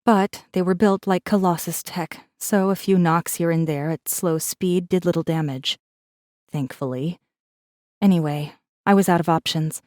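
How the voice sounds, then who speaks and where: A woman's voice: on the low side, steady tone, measured pace, a little mellow, dry recording, clean studio sound, close to an everyday voice. A woman voicing a wry, guarded rebel messenger who narrates a space fantasy audiobook in a controlled, intimate way. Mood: wry, determined. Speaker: audiobook narrator in first person. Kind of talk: scripted dramatic narration.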